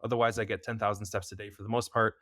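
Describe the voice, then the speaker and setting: Fast-talking young American man, dry mic. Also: fairly low pitch, little pitch movement, a bit bright, no echo. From United States. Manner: fast, loose talk with many fillers. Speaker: podcast guest, preventative health expert. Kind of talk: casual interview chat.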